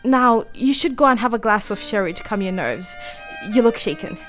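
A woman playing a frail, frightened young gentlewoman in a radio play, gentle and brave. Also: fairly high pitch, animated, moderate pace, soft background music. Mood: shaken, composed. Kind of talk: radio play acting, partly diary narration.

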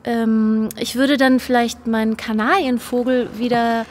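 An actress playing a polite, apologetic young woman who speaks haltingly, in a naturalistic audio drama. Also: fairly high pitch, level tone, darkish tone, a little hiss or hum, close to an everyday voice. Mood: grateful.

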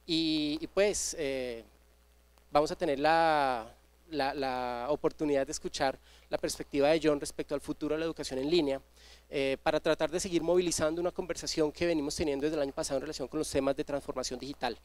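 A man, introducing a guest speaker at a university seminar, pausing often with filler sounds, speaking into a handheld mic. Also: a little high, fairly even intonation. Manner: hesitant, many filler sounds and repeated words. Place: university seminar room with audience, indoors. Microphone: handheld microphone.

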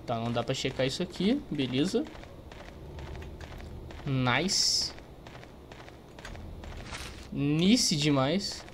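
A man's voice: fairly high pitch, big pitch swings, very slow, pauses often, a little hiss or hum. A young man with a Brazilian accent rambles casually through gameplay commentary. He is slangy and joking, close on the mic, with faint game ambience and typing behind him. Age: young adult. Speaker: gaming streamer. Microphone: close desk microphone. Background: game ambience, keyboard typing.

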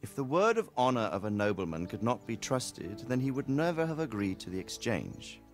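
An actor playing a middle-aged medieval knight talking to his son in a video game, measured and reflective. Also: medium pitch, sing-song, close to an everyday voice. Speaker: knight lord, father of the hero. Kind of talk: video game cutscene dialogue. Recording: soft game music behind.

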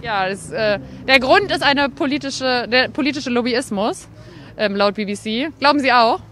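A woman's voice: fairly high pitch, normal speed, fairly bright tone. A young woman conducting street survey interviews with a handheld microphone outdoors. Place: busy city street outdoors. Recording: outdoor street with traffic noise. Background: traffic and city ambience.

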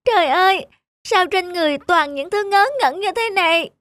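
Whiny, very high-pitched little peach tree. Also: female voice, moderate pace, fairly bright tone, no echo. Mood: upset. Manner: wailing, complaining. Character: whiny, frightened young female peach tree, tearful.

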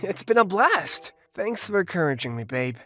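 A woman voicing a troubled, tender young male rock musician with a lisp and halting delivery in a comic dub. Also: lowish voice, big pitch swings, fairly bright tone, soft background music. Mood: fond. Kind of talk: amateur comic dub acting.

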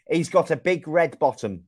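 A young man from England, teaching a young child over a video call in slow, clear, encouraging speech full of exclamations. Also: fairly high pitch. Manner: slow, clear and lively, often exclaims and laughs. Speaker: online English teacher. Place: small room indoors. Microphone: video call with wired earphone mic.

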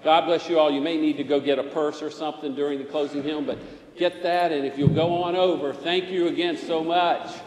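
A man, a pastor, leading a measured, solemn prayer and welcoming new church members. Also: on the high side, steady tone, darkish tone. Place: large church sanctuary. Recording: echoey sanctuary with long reverb.

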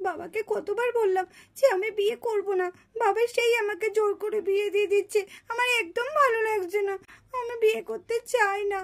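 A woman's voice: very high-pitched, steady tone, a little fast. A woman voicing an emphatic, scolding village female in a puppet family drama. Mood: unhappy. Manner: emphatic, scolding, repeats words in protest. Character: high-pitched village women and girls in a puppet family drama. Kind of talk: dramatized Bengali puppet-show dialogue.

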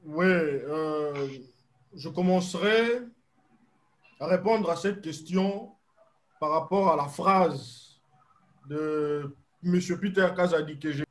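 A young man, a guest activist, arguing his point in a political debate over a video call, slow and insistent. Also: on the high side.

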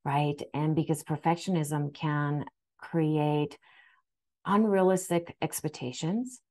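A woman in her forties whose first language is Japanese gives an earnest, gentle spiritual talk to camera from a room at home, often restarting her words. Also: deep voice, steady tone, slow. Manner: soft, earnest, with frequent restarts and fillers. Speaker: spiritual astrology guide. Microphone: webcam framing, seated facing camera.

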